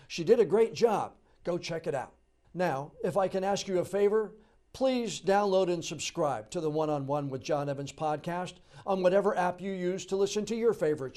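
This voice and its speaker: A man hosting a podcast, asking interview questions and reading a closing outro at a measured pace, with occasional stammered restarts. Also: sits high, darkish tone. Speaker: podcast host.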